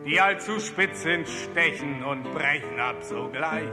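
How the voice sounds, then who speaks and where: A man's voice: a little high, animated, slow. A man singing a folk song, accompanied by a guitar. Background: acoustic guitar.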